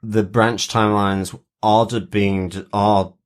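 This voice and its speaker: A man with a low voice talks casually as a podcast co-host. His delivery is halting and searching, with emphatic words leaned on for stress. It sounds like an informal review conversation. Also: flat delivery. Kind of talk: casual TV episode discussion.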